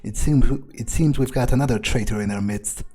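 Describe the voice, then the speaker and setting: A man's voice: mid-range voice, fairly quick, warm tone. A man improvising in-character commentary for a game playthrough, with a hesitant, musing and sardonic way of talking. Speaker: gamer role-playing his character.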